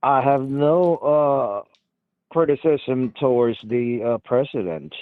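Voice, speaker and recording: A man's voice: medium pitch, slow pace, no echo. Man, 50s, phone caller, hesitant, lots of uhs, tinny dry line. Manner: hesitant, many uhs and restarts. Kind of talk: phone-in conversation.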